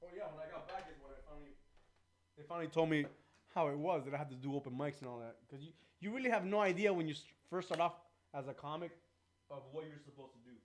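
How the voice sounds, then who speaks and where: A man's voice: fairly high pitch, fast. A man from Cuba talking as a guest on a casual podcast, brash and profane, laughing and talking over the host. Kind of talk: casual chat.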